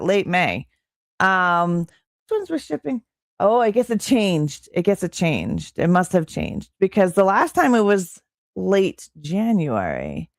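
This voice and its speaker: A young adult woman streaming from Canada, chatting casually with her viewers in an excitable, filler-heavy way, with a slightly strained voice. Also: medium pitch, no room sound, studio quality. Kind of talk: casual live-stream chat reading chat.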